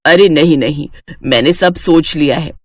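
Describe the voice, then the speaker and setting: A woman's voice: sits low, moderate pace, no room sound, clean studio sound, voice pushed down. Older mother-in-law voiced by a woman narrator, conciliatory and coaxing, proud undertone, family storybook drama. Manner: hesitant, coaxing, repeating herself.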